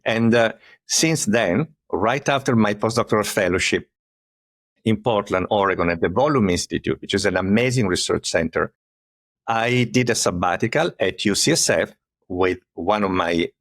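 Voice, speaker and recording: A man in his fifties with an Italian accent, a neurologist telling his career story in an earnest, measured way as a podcast guest. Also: fairly low pitch, dry recording, studio quality. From Italy. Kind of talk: conversational interview.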